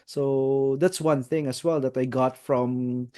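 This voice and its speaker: A man from the Philippines, hosting as an NLP master trainer, speaking in a confident, steady conversational way with "uh" pauses and "right?" tags. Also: medium pitch, clear, resonant tone. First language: not English. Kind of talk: conversational interview.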